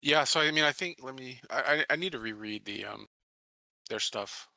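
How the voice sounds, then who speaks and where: A man's voice: mid-range voice, moderate pace, creaky, bright, forceful tone, no echo. A man playing a tabletop game, chatting casually and thinking aloud in a tentative way. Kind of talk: casual chat.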